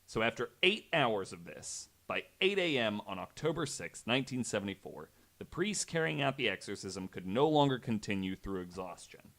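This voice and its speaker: Low-pitched man telling a true story. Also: very animated, normal speed, hardly any pauses, fairly bright tone. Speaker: podcast host. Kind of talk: conversational true-story narration with wry asides.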